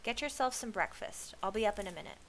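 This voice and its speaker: A woman's voice: mid-range voice, animated, quick pace, fairly bright tone, poor audio. A woman voicing a blustery, bossy, scatterbrained woman shepherd in a storybook reading, insistent and brushing objections aside. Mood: bossy, unhurried. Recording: clear background hiss.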